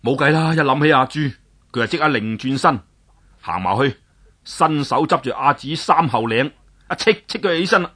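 A male storyteller narrating a traditional tale with lively, swinging pitch. His voice is husky and a bit rough, and he leans hard into the drama. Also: normal speed.

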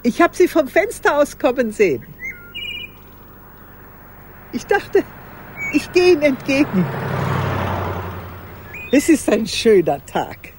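Slow-spoken, formal old woman. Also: high-pitched, very animated, slight background noise, full character voice. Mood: polite, expectant. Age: older. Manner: measured, deliberate, formal address. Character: old woman recounting an early love, formal, lonely. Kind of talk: radio play monologue.

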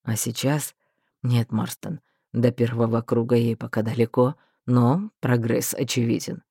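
A woman voicing a calm, authoritative male mage who speaks quietly and evenly. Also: very deep voice, vocal fry, husky, no echo, studio quality, voice pushed down, full character voice. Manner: level, quiet, measured.

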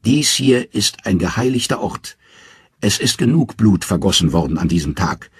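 A man's voice: on the low side, animated, moderate pace, breathy edge, no room sound, clean studio sound. A man voicing the old, weary father of the gods. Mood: stern.

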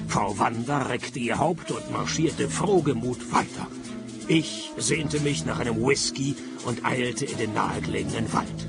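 An actor playing a grumpy, world-weary private detective in a radio play, dry and sarcastic. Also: male voice, mid-range voice, gravelly. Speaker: lead character and narrator. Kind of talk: radio play dialogue and first-person narration.

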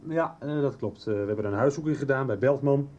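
Mellow, composed police detective played by a man. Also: medium pitch, fast talker, dull audio, natural voice. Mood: composed, businesslike. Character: composed, businesslike male police detective questioning witnesses. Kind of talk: 1990s radio soap acting.

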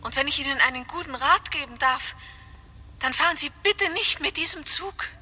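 A woman's voice: sits high, slight background noise. An actress playing a mysterious woman caller who whispers an urgent warning down a distant phone line in a radio drama. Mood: eerie warning. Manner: hushed, breathy, slow warning. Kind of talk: German radio drama acting.

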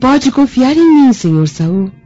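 An actress playing a gentle, loyal noblewoman who speaks with formal, polite dignity in a radio drama. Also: sits high, sing-song, unhurried, faint music behind. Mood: polite, trusting. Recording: dull lo-fi radio drama recording.

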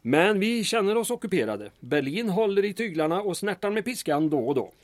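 A man's voice: sits high, sing-song, no echo. An actor playing a proud, guarded male town mayor in a radio drama, formal and dryly sarcastic.

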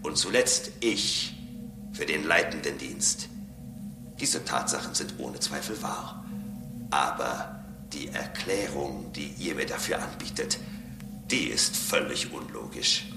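An actor in a radio drama playing a calm, condescending robot who preaches solemnly and pedantically about serving a higher master. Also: male voice, slow, vocal fry, exaggerated voice. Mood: lofty, preaching. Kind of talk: German radio drama acting. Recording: roomy echo, music bed behind.

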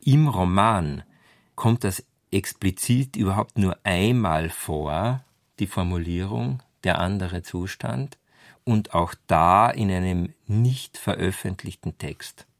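Low-voiced man explaining, dry sound. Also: slow, dry recording. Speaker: literary scholar commentator. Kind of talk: unscripted explanatory commentary.

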